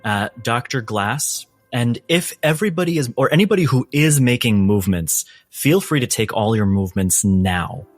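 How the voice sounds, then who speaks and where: A man's voice: medium pitch, animated, normal speed, hardly any pauses, soft background music. A man working as game master, narrating a tabletop game in a theatrical, emphatic way.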